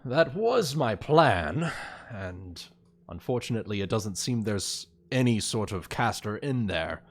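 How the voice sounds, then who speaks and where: A man playing in an online tabletop roleplay session, talking in a measured, deliberate way. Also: fairly low pitch, very animated. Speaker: tabletop game player.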